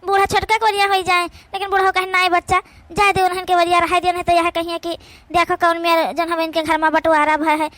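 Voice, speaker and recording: A woman's voice: extremely high pitch, level tone, brisk, fairly bright tone, no echo. A woman with an Awadhi accent voicing a fretting, tender-hearted village daughter-in-law in a cartoon. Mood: worried, gossiping. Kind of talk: amateur cartoon voice acting.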